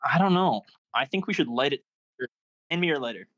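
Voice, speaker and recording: A man's voice: fairly high pitch, fairly quick, lo-fi sound. A man playing an anxious, cautious young stoner sidekick in a comedy script read. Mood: worried. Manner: worried, animated, exclamatory. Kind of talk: comedy screenplay table read.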